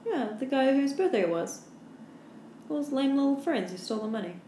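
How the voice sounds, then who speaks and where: A woman co-hosting a casual chat, speaking in a slangy, giggly, animated way. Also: high-pitched, a little fast, a little hiss or hum. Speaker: podcast co-host. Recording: roomy, echoey room.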